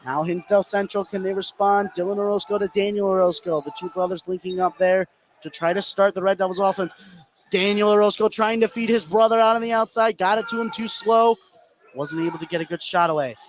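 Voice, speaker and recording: Play-by-play caller: young man, very high-pitched, live basketball radio, light venue noise behind. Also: brisk. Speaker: play-by-play sports announcer. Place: courtside in a high school gym. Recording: noisy gym ambience behind voice. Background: faint gym noise.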